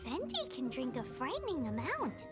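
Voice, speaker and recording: A woman voicing a tiny, cheeky, childlike girl companion in a fantasy game, with a squeaky tone. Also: wildly swooping pitch, normal speed. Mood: curious, cheeky. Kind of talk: video game cutscene voice acting. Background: music.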